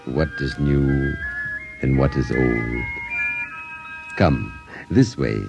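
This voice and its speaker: An actor playing a mysterious old dollmaker in 1950s radio drama, with slow, theatrical, eerily courteous pronouncements. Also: male voice, rumbling low, creaky, exaggerated voice. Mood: mysterious, proud. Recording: vintage 1950s radio broadcast, music behind.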